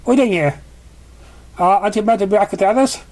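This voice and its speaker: A man's voice: high voice, quick pace, a little mellow, pitched above the performer's own voice, natural voice. A man voicing a friendly, worried female unicorn pony in a story reading, chatty and full of questions. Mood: casual, surprised. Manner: chatty, questioning, slightly rambling.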